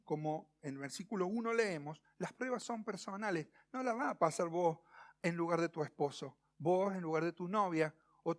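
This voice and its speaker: A man with an Argentine accent preaching a sermon in a didactic way, using rhetorical questions and emphatic pauses. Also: high-pitched. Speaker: pastor preaching. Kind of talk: expository sermon.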